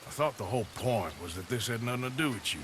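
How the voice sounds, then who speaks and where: An actor playing a rugged, sardonic outlaw with a gruff American drawl, laconic and dryly intimidating, in a video game cutscene. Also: male voice, medium pitch, expressive, quick pace, noisy background, natural voice. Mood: dry, casual. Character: rugged male outlaw, sardonic, coolly menacing hired gun. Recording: music behind the voice.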